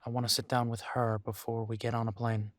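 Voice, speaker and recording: Man voicing male werewolf, mid-pitched, close dry sound, weary, conflicted, halting exasperated delivery, intimate roleplay audio drama. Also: little pitch movement, no room sound, lightly acted. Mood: resigned, decided. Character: weary, conflicted male werewolf arguing tenderly with his mate.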